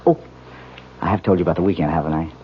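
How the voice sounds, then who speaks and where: An actor playing a breezy, affectionate newlywed husband in a 1970s radio drama, casual and solicitous. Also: male voice, deep voice, very fast, close to an everyday voice. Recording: muffled old radio broadcast, faint noise.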